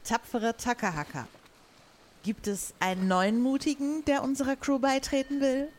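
A woman's voice: high voice, fairly even intonation, moderate pace, a little mellow, close to an everyday voice. A woman playing a commanding pirate captain in an improvised audio play, speaking in ceremonious, old-fashioned phrases. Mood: solemn, commanding. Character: commanding female pirate captain addressing her crew.

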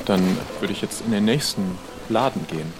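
A man's voice: lowish voice, animated, brisk, over music, poor audio. A man improvising casual, tentative dialogue as a player in an audio role-play, often asking questions.

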